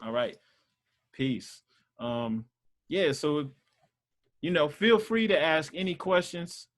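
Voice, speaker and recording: A man's voice: fairly high pitch, big pitch swings, slow pace, fairly bright tone. Conversational man teaching on a call. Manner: loose and conversational, many fillers. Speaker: Sunday school teacher leading a call. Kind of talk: casual teaching on a group call.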